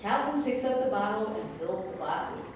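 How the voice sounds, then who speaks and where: A woman's voice: mid-range voice, lively intonation, normal speed. A woman narrating scene settings and stage directions from a script at a staged reading. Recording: echoey room, distant mic, noisy.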